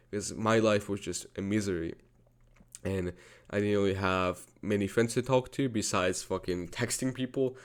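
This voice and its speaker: A young man from Georgia, a native Georgian speaker, telling a personal story in an earnest, repetitive way with frequent swearing. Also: fairly low pitch. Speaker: vlogger. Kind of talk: personal confessional monologue.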